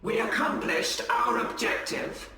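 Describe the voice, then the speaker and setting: A man playing a stern, ruthless alien warrior lord in a video game, speaking gravely and imperiously. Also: very high voice, expressive, a little slow. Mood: cold, measured. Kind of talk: dramatic video game acting. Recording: very echoey, distant, music underneath.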